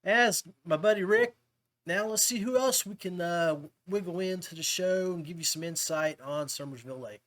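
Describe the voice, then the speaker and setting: Guy hosting a fishing podcast, voice on the higher side, real buddy-buddy casual chat. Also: moderate pace, a bit bright. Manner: chummy, addresses guests as buddy and man. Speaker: podcast host.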